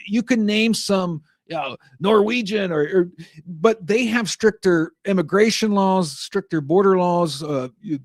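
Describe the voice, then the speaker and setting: Exasperated man, higher voice, dry. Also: no room sound. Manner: rambling, exasperated, frequent restarts. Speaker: talk show host. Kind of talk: political commentary monologue.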